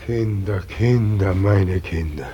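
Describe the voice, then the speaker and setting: An actor playing a weary, powerless old God, plaintive and tearful, in a 1940s-style German radio drama. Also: male voice, sits low, slow, dark tone, muffled recording, exaggerated voice. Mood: tearful lament. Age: older. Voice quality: gravelly, husky.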